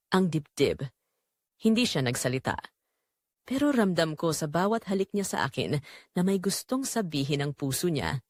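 A woman reading a scripted first-person dramatic story in a measured, expressive storytelling manner. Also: on the low side, no echo, clean studio sound. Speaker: narrator.

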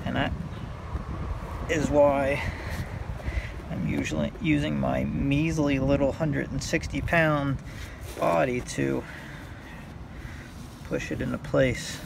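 A man talking through a hands-on repair tutorial in a halting, unscripted way, thinking aloud as he works outdoors. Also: medium pitch, lively intonation. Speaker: hobbyist demonstrating a repair. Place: outdoors by a car on a windy day. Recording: clear outdoor background noise.

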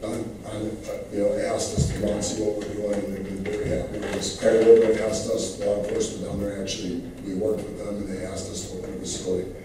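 Hoarse, plainspoken man at a public meeting, slightly low voice, distant mic. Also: flat delivery, fast, hardly any pauses, background noise. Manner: earnest, plainspoken, many fillers. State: losing his voice. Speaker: public commenter at city meeting. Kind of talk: speaking at public comment. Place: large council chamber, standing at podium. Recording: roomy, distant council-chamber recording.

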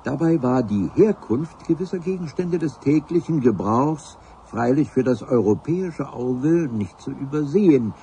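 Ein Schauspieler spielt einen hochtrabenden Abenteurer, der im Hörspiel feierlich und wortreich von seiner Expedition erzählt. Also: male voice, medium pitch, lively intonation, unhurried, few pauses, mellow, a little hiss or hum, low-quality recording. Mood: grandiloquent. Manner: pompous, ornate storytelling. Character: grandiloquent male adventurer recounting his expedition. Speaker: narrator. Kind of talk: dramatic first-person radio play narration.

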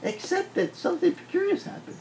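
A middle-aged man whose first language is Italian, preaching an animated teaching sermon indoors and asking the room questions. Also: very high-pitched, fairly quick, gravelly, slight background noise. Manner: animated, gesturing broadly, asks the room questions. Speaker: pastor. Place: wood-paneled indoor room.

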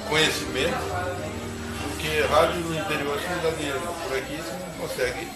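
A man's voice: fairly high pitch, fairly even intonation, quick pace. A man in his fifties with a Brazilian Portuguese accent, a radio broadcaster chatting easily in an interview, with frequent restarts. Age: 50s. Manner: talkative, easygoing, frequent restarts. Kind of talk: casual interview, reminiscing. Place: small indoor kitchen-like room. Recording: echoey room with loud music underneath.

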